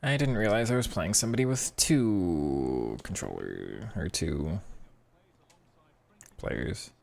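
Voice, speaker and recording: A hoarse young man with a very deep voice talks through a football video game in loose, casual commentary. He muses on tactics and mutters quick reactions to each pass and touch. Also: animated, a little mellow. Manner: thinking aloud, reacting to play. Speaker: gaming streamer.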